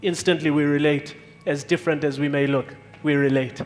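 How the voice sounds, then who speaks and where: A man speaking on a panel, his voice pitched fairly high for a man. He sounds heartfelt and searching and circles back over his words. A long hall reverb trails behind him. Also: fairly even intonation, a little fast, faint music behind. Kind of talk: panel discussion answer.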